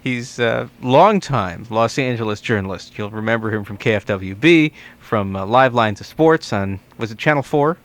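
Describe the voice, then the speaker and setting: A man's voice: lowish voice, moderate pace, few pauses. A man hosting a radio talk show from a studio, interviewing in a conversational style.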